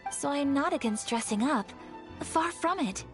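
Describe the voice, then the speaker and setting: High-voiced refined young noblewoman. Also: lively intonation. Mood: gracious, thoughtful. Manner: polite, formal, measured phrasing. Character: refined, gentle young noblewoman, modest and earnest. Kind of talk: video game voice acting. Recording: music behind the voice.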